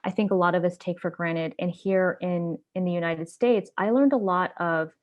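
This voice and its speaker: A woman's voice: lowish voice, normal speed, highs cut off. A woman with an other than English, speaking as an interview guest about her life, thoughtful and often repeating words as she searches for them. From grew up in Japan and Indonesia. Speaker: interview guest, community activist. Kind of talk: casual interview talk.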